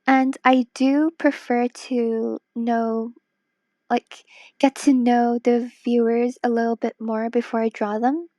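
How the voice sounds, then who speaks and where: Tired, high-voiced woman streamer, slow talk. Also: level tone, dark tone, no echo, highs cut off. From Hong Kong. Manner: playful and teasing, slipping into whispers. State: tired, voice worn from a ten-hour stream. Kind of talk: casual live-stream chat while drawing.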